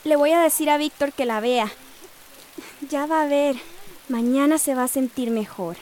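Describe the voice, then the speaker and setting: High-voiced, gentle woman, acted. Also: moderate pace, natural voice. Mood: soothing. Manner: gentle, solicitous. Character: kind, eager young woman helper. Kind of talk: radio soap opera acting.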